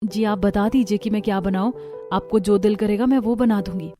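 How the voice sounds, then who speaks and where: A woman's voice: medium pitch, fairly even intonation, fairly quick, warm tone, soft background music, natural voice. A woman playing a timid, anxious young bride who speaks meekly and politely in a cartoon story. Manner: meek, polite, nervous. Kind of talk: Hindi cartoon story character acting.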